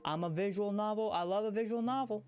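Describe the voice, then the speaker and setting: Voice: medium pitch, quick pace, darkish tone. Rambling livestreamer over background music. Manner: rambling, repeats phrases, restarts sentences. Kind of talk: casual livestream chat, rambling commentary.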